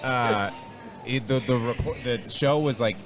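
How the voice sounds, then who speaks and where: Male comic, animated storyteller, casual outdoor chat. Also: medium pitch, a little slow, music in the background. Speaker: comedian and booker. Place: outdoors near a pub in a city.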